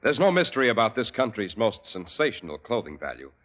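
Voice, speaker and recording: A man reading a sponsor ad as announcer on a 1940s radio show, with a smooth, persuasive delivery. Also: lowish voice, big pitch swings, a little fast, fairly bright tone.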